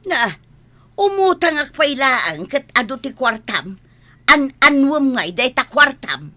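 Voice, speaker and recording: A woman acts out an aggrieved village wife in a comedy radio play. Her voice is high and sharply bright, and she complains in a plaintive, drawn-out, dramatic way. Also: big pitch swings, heavily acted. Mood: nagging.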